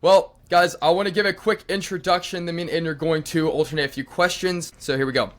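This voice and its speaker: A man's voice: fairly high pitch, steady tone, fast talker. A young man co-hosting a podcast, upbeat and enthusiastic as he welcomes and introduces a guest. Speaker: podcast co-host.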